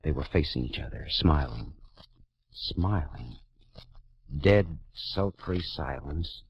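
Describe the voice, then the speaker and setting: A man's voice: rumbling low, animated, slow, noisy background, heavily acted. An actor playing a wry, tense male private detective who narrates in clipped, hard-boiled 1940s radio style. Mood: tense narration. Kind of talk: 1940s radio drama acting. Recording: dull lo-fi 1940s radio broadcast. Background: dramatic music score.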